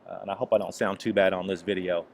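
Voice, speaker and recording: A man's voice: low voice, fairly even intonation, fast talker, creaky, a little hiss or hum. A man recovering from the flu, teaching a golf lesson over swing footage, with frequent restarts and a repeated "okay". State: recovering from flu, voice deeper than usual. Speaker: golf instructor.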